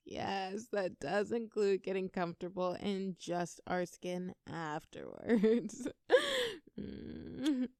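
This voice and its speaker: Teasing, creaky-voiced girlfriend, acted by a woman. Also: mid-range voice, animated, extremely slow, dark tone, no echo, clean studio sound, exaggerated voice. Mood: playful, affectionate.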